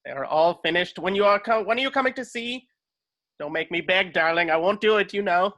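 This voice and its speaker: A woman's voice: fairly low pitch, expressive, a little fast, fairly bright tone. A woman playing an imperious, flamboyant costume designer in a script read-through, grand and insistent. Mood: effusive. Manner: grand, insistent, calls people darling. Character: imperious, flamboyant female super-suit designer. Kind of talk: online table read of a film script.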